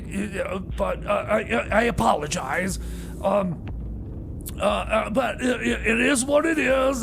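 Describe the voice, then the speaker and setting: A man playing a flustered, apologetic substitute radio DJ on a comedy show, stammering and halting in exasperation. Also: a bit bright, exaggerated voice. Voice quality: high, heavily creaky voice. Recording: faint background noise.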